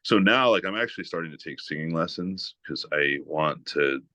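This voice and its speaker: A man from New England with a deep voice, talking casually as a podcast guest with lots of "like" and "you know". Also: normal speed, dry recording. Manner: relaxed, rambling with many "like" and "you know" fillers. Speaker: guest guitarist.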